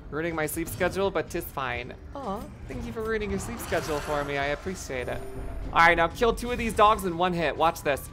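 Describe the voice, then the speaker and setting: A game streamer with a voice going hoarse, chatting casually, swearing a lot and reacting loudly to the game. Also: medium pitch, brisk, over music. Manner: excitable, profane, reacts loudly to gameplay. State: voice getting hoarse. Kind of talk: casual live-stream commentary.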